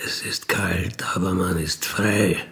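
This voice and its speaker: A wistful old crook in a radio play, real slow n creaky, halting, mumbly musing. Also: male voice, sits low, fairly even intonation, fairly bright tone, exaggerated voice. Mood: yearning, resigned. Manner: halting, musing, trailing into murmurs. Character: wistful dying ex-robber dreaming of freedom in Siberia. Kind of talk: intimate naturalistic radio play monologue.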